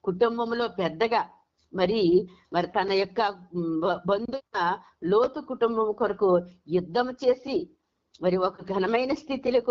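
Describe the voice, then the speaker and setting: A woman preaching a sermon over a conference call, retelling Bible stories and often restarting her phrases. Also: lowish voice. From Andhra Pradesh, India. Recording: dull, lo-fi conference call audio.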